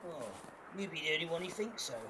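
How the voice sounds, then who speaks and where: A noisy recording of a man playing an old villager. He is a wry, grumbling outcast from an audio drama, pitched a little high. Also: fast, close to an everyday voice. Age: older. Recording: noisy home recording with ambience.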